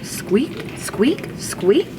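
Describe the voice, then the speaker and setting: High, squeaky excitable little hamster. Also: female voice, extremely slow, recorded from a distance, background noise, full character voice. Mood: insistent squeaking. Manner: repetitive bursts of squeaks. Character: small squeaking classroom pet hamster, excitable and insistent.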